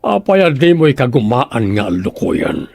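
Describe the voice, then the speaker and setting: A man's voice: medium pitch, wildly swooping pitch, measured pace, creaky, a little mellow, slightly rough, faint music behind, full character voice. An actor playing a bossy, nagging man with an Ilocano accent in a radio drama. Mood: reproachful.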